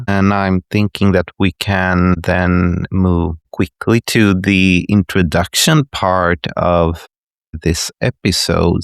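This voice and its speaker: A man's voice: sits low, creaky, clear, resonant tone, no echo, studio quality. A man hosting a podcast who asks short questions and gives brief acknowledgements. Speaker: podcast host. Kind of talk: interview questions.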